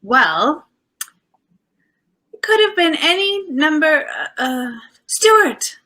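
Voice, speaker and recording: A woman plays an exasperated, loyal wife in a 1940s radio mystery. Her voice is sharp, bright and slightly high. She pleads her case emphatically and dramatically. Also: sing-song, slow pace, exaggerated voice. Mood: urgent, insistent.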